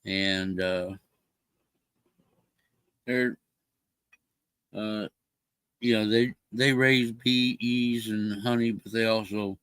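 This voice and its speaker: A man's voice: on the low side, a bit bright, clear, resonant tone, no room sound. An older man chatting casually on a livestream, rambling with many fillers and restarts. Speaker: livestream co-host. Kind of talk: casual chat.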